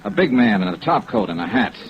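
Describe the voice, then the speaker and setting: Tough radio detective, rapid clipped delivery, wry, matter-of-fact, muffled vintage recording. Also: male voice, on the low side, very animated, noisy background. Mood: terse, matter-of-fact. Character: tough, wry freelance insurance investigator. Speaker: lead actor and narrator. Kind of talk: 1950s radio detective acting.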